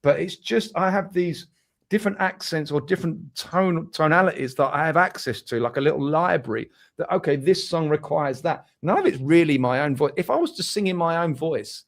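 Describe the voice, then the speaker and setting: Gravelly older man with a London accent. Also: fairly high pitch, fairly quick. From Essex, England. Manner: chatty, laughs often, animated impressions. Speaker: musician guest. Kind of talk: casual interview chat.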